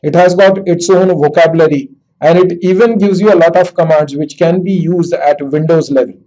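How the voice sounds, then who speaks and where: A man with an Indian accent demonstrating dictation software, speaking slowly and deliberately as if to a computer. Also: fairly high pitch, steady tone, highs cut off. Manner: slow, deliberate dictation with spoken punctuation. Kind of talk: screen-recorded software tutorial with dictation.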